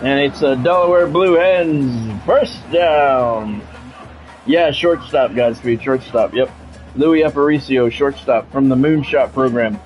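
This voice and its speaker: A man's voice: mid-range voice, slow, muffled recording, faint music behind. A man streaming a sports video game, mixing casual chat to viewers with play-by-play commentary and repeating himself as he goes.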